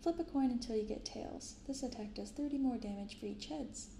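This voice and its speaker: A woman in her twenties speaking softly and gently in a casual, unhurried ASMR style as she hosts an unboxing. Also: fairly high pitch. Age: 20s. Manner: soft-spoken, gentle, unhurried. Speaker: host of a card-opening ASMR channel. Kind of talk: soft-spoken ASMR, casual unboxing chat. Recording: roomy binaural recording with clear background noise.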